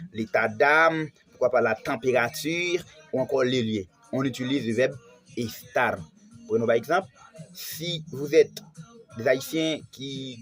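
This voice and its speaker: A man's voice: medium pitch, a bit bright. Haitian man teaching Spanish on TV. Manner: repetitive, stresses key words. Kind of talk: televised language lesson.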